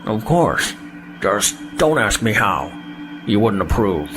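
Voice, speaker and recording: A man's voice: on the low side, very animated, takes it slowly, music in the background. A man playing a sly, boastful alien trader in a sci-fi audio drama, smug and evasive.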